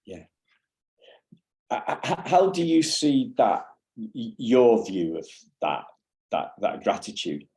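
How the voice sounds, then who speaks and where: A man's voice: medium pitch, very animated, takes it slowly, darkish tone. A man hosting a conversational interview, hesitant and repeating words as he works his way into questions. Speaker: podcast host.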